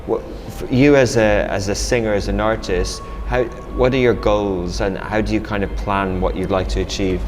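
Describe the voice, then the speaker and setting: Lower-voiced man asking questions, echoey hall. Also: fairly even intonation, normal speed, few pauses. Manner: rambling, hesitant questions. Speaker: interviewer. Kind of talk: casual interview questions. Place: event venue. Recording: echoey hall with event noise.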